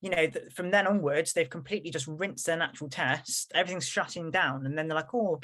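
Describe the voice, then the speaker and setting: A woman's voice: low voice, fairly quick, fairly bright tone. A woman co-hosting a podcast in casual chat, rambling along and acting out what people said to her. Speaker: podcast co-host.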